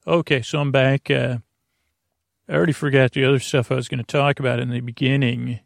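A man hosting a bedtime podcast in a halting, stammering, meandering monologue with a gravelly, creaky voice, often losing his thread. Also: mid-range voice, flat delivery, no room sound, studio quality. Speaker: host of a bedtime sleep podcast. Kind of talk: rambling, meandering monologue to lull listeners to sleep.

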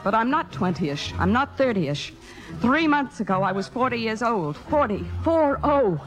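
Jealous female stage star, highish voice, biting theatrical sarcasm, 1950s radio play, music in the recording. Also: big pitch swings, measured pace. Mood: brittle, bitter. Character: jealous, sharp-tongued forty-year-old female stage star. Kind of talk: 1950s radio drama acting.

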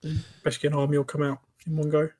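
A man hosting a hobby podcast in a relaxed, chatty way, welcoming a guest. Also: fairly high pitch, rapid-fire. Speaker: podcast host. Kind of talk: casual podcast chat.

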